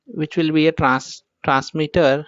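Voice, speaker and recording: A man with an Indian accent teaches in a fairly high, smooth voice. He explains informally, one step at a time, circling back over his points. The recording is dry and a little dull. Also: fairly even intonation, unhurried, no echo. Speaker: instructor.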